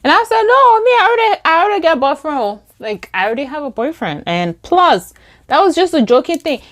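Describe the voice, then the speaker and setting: A young woman with a Liberian English accent telling a story while doing her makeup, acting out quoted lines in a higher voice. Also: big pitch swings, brisk, fairly bright tone. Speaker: beauty vlogger.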